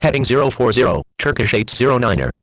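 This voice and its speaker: A man's voice: medium pitch, very animated, fast, a bit bright, dry recording. Man on dull air-traffic radio, swooping intonation. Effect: radio transmission. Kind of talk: clipped aviation radio phraseology.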